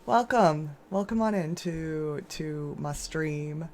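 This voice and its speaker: A woman streaming and chatting casually with viewers, with a hoarse, scratchy voice from a sore throat and a rambling, chatty way of talking. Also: slow pace, a little mellow. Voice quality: lower and scratchier than usual. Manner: rambling, chatty, with frequent restarts and laughs. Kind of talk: casual chat while gaming.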